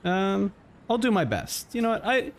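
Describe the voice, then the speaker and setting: Animated, higher-pitched male game streamer. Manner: casual, halting, repeats himself. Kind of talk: live game stream commentary. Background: music.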